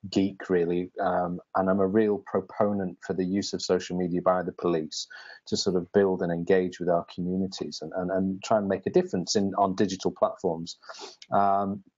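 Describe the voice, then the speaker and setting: Deep-voiced man in his forties, hesitant interview guest, muffled call audio. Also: little pitch movement, moderate pace, a little mellow. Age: 40s. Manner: hesitant, with many ums and repeated words. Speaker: police sergeant guest.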